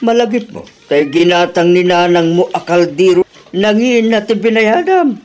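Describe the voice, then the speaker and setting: Man playing a thrifty old Ilocano dad, lecturing, pitch leaping high, radio drama. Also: lively intonation, moderate pace, darkish tone. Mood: reminding. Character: scolding thrifty old father.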